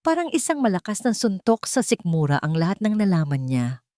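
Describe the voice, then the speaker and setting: A woman's voice: low voice, warm tone, dry recording, studio quality. A woman with a Filipino accent narrating a dramatic scripted love story.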